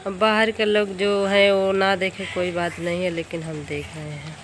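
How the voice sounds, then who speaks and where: A woman's voice: lowish voice, little pitch movement, moderate pace. A woman chatting casually in a reassuring, plain-spoken way. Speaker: supportive older friend of the vlogger. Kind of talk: casual chat. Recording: noisy live room sound.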